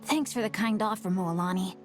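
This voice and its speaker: A woman voicing an earnest, kindhearted, optimistic teenage boy adventurer in an animated game, with a sincere and eager manner. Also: mid-range voice, fairly quick, faint music behind, lightly acted.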